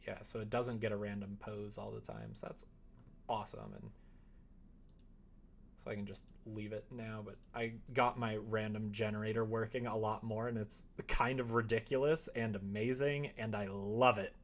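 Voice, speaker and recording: A man with a fairly low voice hosts a casual livestream. He talks to his viewers in a loose, rambling way, thinking out loud as he goes. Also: little pitch movement, normal speed, creaky. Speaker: live streamer. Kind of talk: casual chat with stream viewers.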